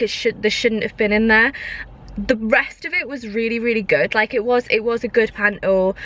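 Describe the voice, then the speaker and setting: A woman's voice: medium pitch, a bit bright. A woman vlogging in a casual, opinionated way, repeating points for emphasis. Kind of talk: casual vlog chat. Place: inside a car.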